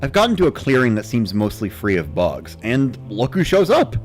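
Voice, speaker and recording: A man's voice: mid-range voice, huge pitch swings, normal speed, faint music behind. A man reading a first-person story as a dramatic narrator, in the style of a gamer recounting play.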